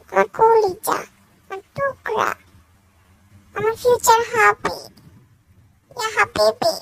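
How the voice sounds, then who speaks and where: A woman voicing a scheming, hot-tempered young gold-digger girlfriend with a squeaky voice in an amateur comedy cartoon. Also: gravelly, slight background noise, exaggerated voice. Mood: gushing. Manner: gushing sweet talk or sharp scolding.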